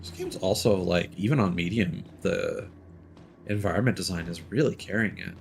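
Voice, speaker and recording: A man's voice: deep voice, normal speed, gravelly, noisy background. A young man streaming a game, chatting casually and thinking aloud as he plays. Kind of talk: live gameplay commentary.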